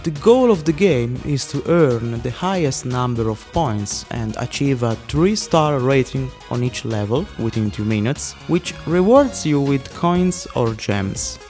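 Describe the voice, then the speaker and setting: A man with an Italian accent narrates a scripted video in a mid-range voice. He reads steadily and quickly and slips in the odd filler. Faint music plays underneath. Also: mid-range voice, a little mellow. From Italy. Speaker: YouTube narrator.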